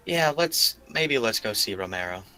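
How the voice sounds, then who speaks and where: A man's voice: medium pitch, sing-song, moderate pace, sharp tone, faint music behind, poor audio. A man playing a tabletop roleplaying game, chatting in a casual, slangy way.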